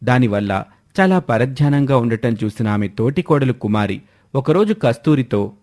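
A man's voice: medium pitch, lively intonation, normal speed, darkish tone. A man narrating a scripted moral story as the storyteller.

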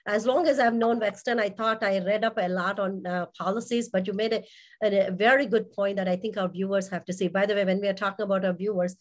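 A woman with a medium-pitched voice hosts an online interview and asks her guest questions. She talks in a chatty, meandering way, with frequent fillers and restarts.

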